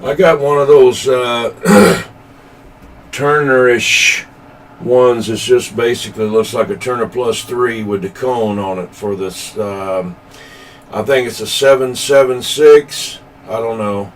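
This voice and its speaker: A man's voice: medium pitch, slow. A man in a rambling, folksy, casual CB radio chat as a hobbyist operator. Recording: noisy room recording, distant from mic.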